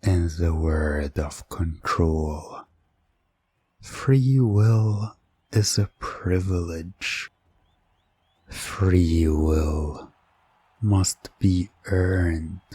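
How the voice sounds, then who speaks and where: Very deep, slow hypnotic male narrator. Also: warm tone, breathy edge. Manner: deliberate, dramatic pauses, dropping at times toward a whisper. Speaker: hypnosis audio narrator and storyteller.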